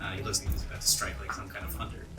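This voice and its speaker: Male game master, low voice, descriptive tabletop storytelling, roomy and set back from the mic over clear music. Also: flat delivery, very fast. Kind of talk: narrating a tabletop role-playing game. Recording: roomy echo, distant mic, music underneath. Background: music bed.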